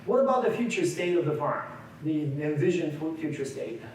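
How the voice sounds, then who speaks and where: A man's voice: fairly high pitch, expressive, moderate pace, a little mellow, slight background noise. A man in his forties from Bulgaria leading a seminar, talking conversationally with frequent restarts and questions to the room. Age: 40s. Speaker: workshop leader. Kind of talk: interactive seminar lecture. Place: large echoey meeting room. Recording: echoey room recording from far off.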